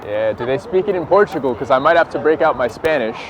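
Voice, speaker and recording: A man's voice: medium pitch, lively intonation, quick pace, lo-fi sound, a crowd in the background. A man in his twenties with an American accent, chatting casually and presenting as a dating coach. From San Francisco, United States. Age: 20s. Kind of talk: casual street chat and talk to camera. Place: outdoors on a busy London street. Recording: noisy city street, traffic behind.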